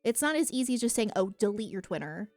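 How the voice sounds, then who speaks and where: An American woman streamer talks off the cuff to her viewers, rambling and informal with plenty of opinions. Her voice sits at a middle pitch for a woman, close and dry on the mic, with music playing behind her. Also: sing-song, quick pace, darkish tone, no echo. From United States. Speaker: live streamer and content creator. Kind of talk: casual livestream chat with viewers.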